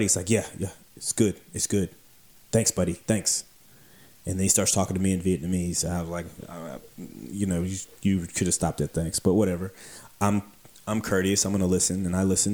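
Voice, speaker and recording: A man with a somewhat low voice spins a casual workplace anecdote. He acts out each exchange, voicing the other people as he goes. His delivery is animated and conversational. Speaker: beer delivery storyteller.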